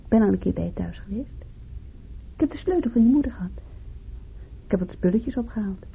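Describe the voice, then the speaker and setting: Radio drama role: reassuring, practical carer, gravelly creaking voice, thin phone-like audio. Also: female voice, medium pitch, animated, brisk, exaggerated voice. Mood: matter-of-fact, caring. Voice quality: husky, creaky. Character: caring, practical woman reassuring a fragile companion. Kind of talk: radio play acting. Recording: very narrow, tinny radio play.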